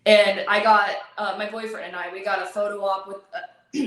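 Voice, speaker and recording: A woman guest talking casually in an interview, talkative and full of fillers, her voice going hoarse. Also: medium pitch, level tone, normal speed, a bit bright. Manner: talkative, filler-heavy, laughs easily. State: losing her voice. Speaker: actress guest. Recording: very echoey room.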